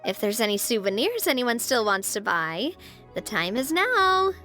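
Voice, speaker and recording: Game casting: an efficient young president, high crisp-edged voice, bossy but playful. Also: female voice, very animated, normal speed, sharp tone, soft background music. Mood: brisk, playful. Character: brisk, organised young woman leader, playful and easily teased. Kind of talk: game voice acting.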